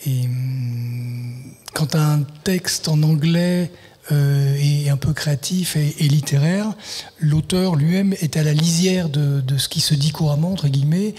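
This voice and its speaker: A man's voice: a little high, a little slow, mellow, husky. A man, a literary translator, answering interview questions in a thoughtful, hesitant way, often restarting his sentences. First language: French. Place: festival venue, live broadcast.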